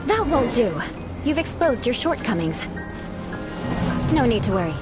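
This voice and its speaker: Game mentor role: haughty aristocratic lady knight, highish expressive voice, formal and poised, over prominent music. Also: measured pace. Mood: composed, instructing. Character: proud, elegant young noblewoman knight, haughty but encouraging mentor. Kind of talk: video game voice acting.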